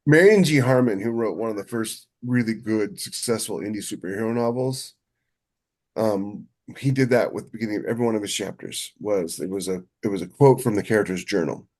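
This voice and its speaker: A man's voice: on the low side, fairly quick, darkish tone. A man co-hosting a podcast, talking casually and in a rambling way about his craft as a writer. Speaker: podcast co-host and novelist.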